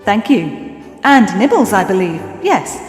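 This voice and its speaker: A woman's voice: medium pitch, very animated, unhurried. A woman playing a confident human queen addressing guests in an audio drama, formal yet slightly flippant. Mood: breezy. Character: human queen, confident bride-to-be, breezily regal. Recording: echoey reverberant hall, music behind.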